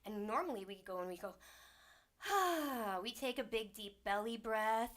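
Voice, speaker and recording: A woman hosting a livestreamed spiritual talk from her small home studio. She speaks in a soft, soothing, unhurried way and sounds slightly congested from a cold. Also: fairly high pitch. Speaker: host and sound healer.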